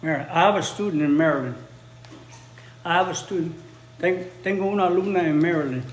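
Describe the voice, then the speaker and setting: Man with an echoey room sound. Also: fairly high pitch. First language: Spanish. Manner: bilingual, repeats phrases slowly for learners. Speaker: English teacher. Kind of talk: casual livestream chat with viewers. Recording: roomy echo, faint ambience.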